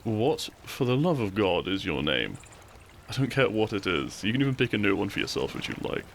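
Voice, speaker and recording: A man playing a cheeky, tender and protective young bandit outlaw in an intimate romantic audio roleplay, speaking in a gentle, courtly, teasing way. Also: lowish voice, very animated, a little fast, creaky, a little hiss or hum. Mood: teasing, adoring.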